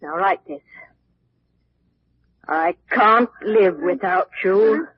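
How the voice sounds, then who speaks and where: A vengeful killer in a vintage radio thriller, icy and controlling. Her husky voice gives orders with deliberate menace, through a dull old broadcast recording. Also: medium pitch, very animated, dry recording, heavily acted. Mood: cold, menacing. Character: cold, domineering, vengeful murderess. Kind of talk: 1940s radio thriller acting.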